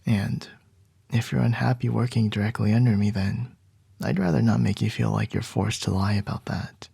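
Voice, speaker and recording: A man voicing a commanding male CEO boss in an ASMR roleplay, speaking in soft, measured whispers. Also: lowish voice, creaky, husky, no room sound, heavily acted.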